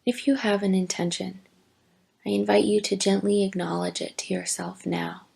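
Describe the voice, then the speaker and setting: A woman teaching yoga nidra, giving gentle, deliberate guided meditation instructions with long pauses. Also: medium pitch, steady tone, gravelly, breathy edge. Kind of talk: slow guided meditation narration.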